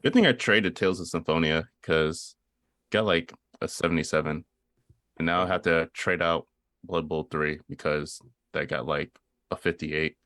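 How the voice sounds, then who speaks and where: A man's voice: extremely low pitch, moderate pace, fairly bright tone, no echo, studio quality. A man co-hosting a podcast with casual, teasing banter. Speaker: podcast co-host.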